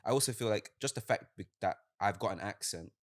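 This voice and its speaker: Deep-voiced young London man, slangy. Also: quick pace, no room sound, clean studio sound. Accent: Multicultural London English. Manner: laid-back, slangy banter. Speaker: podcast co-host. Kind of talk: casual podcast chat.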